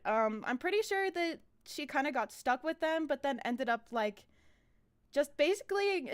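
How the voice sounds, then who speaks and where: Woman streamer, higher-pitched voice, informal, rambling, casual animation commentary. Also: clear, resonant tone. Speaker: animator hosting a live stream.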